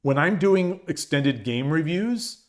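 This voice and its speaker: A man chatting casually on a shogi live stream, reading viewers' messages aloud, his voice getting dry and hoarse from long talking. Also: on the high side, lively intonation, slow. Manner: rambling, many restarts, reads chat aloud.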